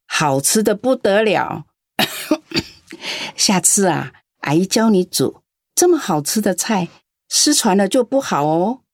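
A woman's voice: on the low side, no room sound, studio quality. An actress playing a lonely, doting elderly grandmother in a radio drama. She talks in a coaxing, chatty way and coughs now and then. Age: older.

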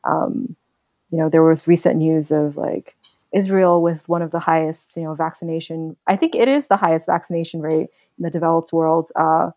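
Thoughtful young woman, low-pitched and dry. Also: fairly even intonation, dark tone, dry recording. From United States. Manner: thoughtful, earnest, measured. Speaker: podcast guest. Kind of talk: casual interview.

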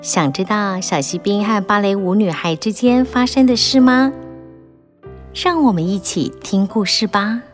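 A woman narrating a children's audiobook in a gentle, expressive storytelling manner. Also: mid-range voice, a little slow, soft background music.

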